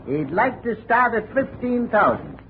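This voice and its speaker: A man's voice: sits high, sing-song, a little slow. An actor playing a shady, self-assured private investigator in a 1950s radio detective drama, sly and clipped as he bargains. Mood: cool bargaining. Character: shady male private investigator, coolly baiting a blackmail deal. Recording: narrow, tinny, hissy old radio recording.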